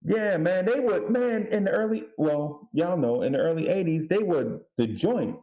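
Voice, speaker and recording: A man's voice: high voice, expressive, normal speed, muffled recording. A middle-aged American man chatting casually with friends as a panelist on a music show. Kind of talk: casual chat among friends.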